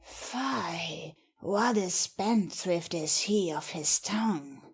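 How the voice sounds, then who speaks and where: A cynical, plotting lord in a Shakespearean drama, read by a woman. The voice is breathy and rough-edged, rather low for a woman, and slow. Each remark is a dry, sardonic jab. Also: expressive, exaggerated voice. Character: mocking, cynical scheming nobleman. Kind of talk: dramatic Shakespeare audiobook reading.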